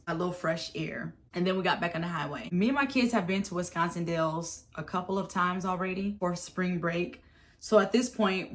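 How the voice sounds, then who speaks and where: A woman in her thirties with a raspy voice from a head cold, casually telling a storytime vlog with animated retellings. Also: fairly low pitch. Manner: chatty, animated storytelling with acted-out quotes. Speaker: vlogger.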